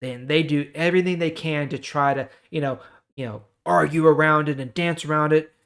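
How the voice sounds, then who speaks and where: A man's voice: fairly high pitch, steady tone, moderate pace, fairly bright tone. A man hosting a Bible reading, explaining scripture informally and checking in with the listener.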